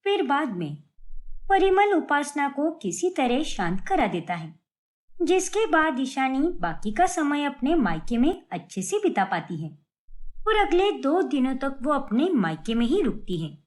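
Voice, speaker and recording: A woman's voice: high voice, big pitch swings, a little slow. A woman narrating a scripted family story as a storyteller.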